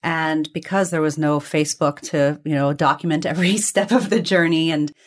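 A woman's voice: sits low, steady tone, moderate pace. Reflective woman telling family stories. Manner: reflective, discursive, hedging with self-corrections. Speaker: interview guest. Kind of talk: conversational personal storytelling.

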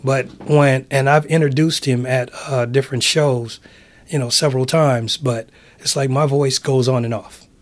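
Laid-back middle-aged man, raspy voice. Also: mid-range voice, steady tone, normal speed. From San Francisco, USA. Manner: laid-back, chill, slangy. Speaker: interview guest, public address announcer. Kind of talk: casual conversational interview.

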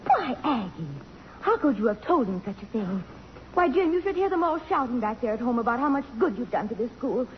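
An actress playing a gushing, good-hearted middle-aged matron, effusive and exclamatory, in radio drama style. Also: female voice, a little high, sing-song, fairly quick. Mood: indignant, effusive. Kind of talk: 1950s radio drama acting. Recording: muffled, noisy old radio broadcast.

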